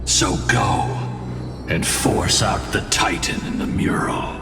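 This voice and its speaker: A grave ancient warrior from a fantasy game, very low and slow, proud and solemn. His delivery swings widely in pitch. It rings in a long reverb under loud music. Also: animated, vocal fry, distant mic, exaggerated voice. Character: ancient male warrior, grave, world-weary, proud guide. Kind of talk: scripted fantasy game dialogue.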